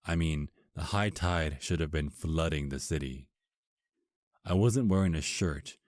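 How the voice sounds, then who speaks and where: A man's voice: very deep voice, darkish tone, dry recording, clean studio sound. A man playing an affectionate, teasing vampire husband in an intimate ASMR romance roleplay, murmuring tenderly and flirtatiously.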